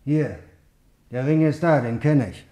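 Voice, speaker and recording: Actor as terse, grim man with dark tone. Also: on the high side, unhurried, lightly acted. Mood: grim, matter-of-fact. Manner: clipped short sentences, matter-of-fact. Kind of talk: 1950s German radio drama acting.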